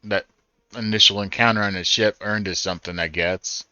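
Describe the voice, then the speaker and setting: A man's voice: lowish voice, fairly even intonation, a little fast, sharp tone, clean tone, no room sound, dull audio. A man giving casual first-time commentary on a video game, thinking aloud as he plays. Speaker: gaming streamer. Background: game music.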